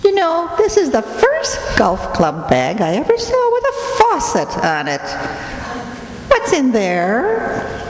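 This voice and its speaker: Cheeky boy dummy played by a woman, very high and lively, sarcastic radio-comedy wisecracker. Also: full character voice. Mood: sardonic. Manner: sarcastic, quick comebacks. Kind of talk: old-time radio comedy acting. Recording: echoey hall, clear background noise.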